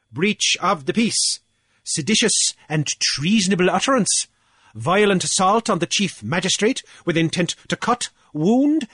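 Guy putting on a blustery drunk beachcomber, high pitched and wordy. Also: a bit bright, slightly rough, no room sound, clean studio sound, exaggerated voice. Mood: pompous. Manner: bombastic, wordy, theatrical. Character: pompous drunken male beachcomber official, blustering.

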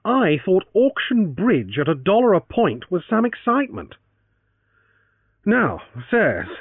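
A man doing a flippant gentleman adventurer. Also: sits high, no echo, voice pushed up. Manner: mocking, flippant banter. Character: wry, jaunty young gentleman adventurer. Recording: tinny narrowband, dry.

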